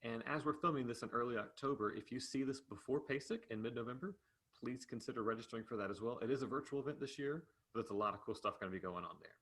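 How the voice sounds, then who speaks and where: A man's voice: mid-range voice, fast talker, a bit bright, breathy edge. A man speaking as the host of an interview, introducing and questioning in a conversational way. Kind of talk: interview hosting.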